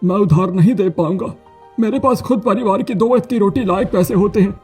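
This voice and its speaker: A man's voice: high-pitched, fairly quick, a little mellow, breathy edge, faint music behind. A man voicing a poor, regretful older villager in a cartoon story. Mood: reluctant.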